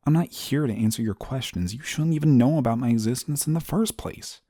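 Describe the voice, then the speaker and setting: A man playing an exasperated, rule-bound but soft-hearted guardian angel in a scripted audio roleplay, scolding in a weary, formal way. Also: deep voice, animated, unhurried, slightly rough, dry recording, studio quality, full character voice. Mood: flustered, annoyed. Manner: weary, scolding, formal wording, sighs often.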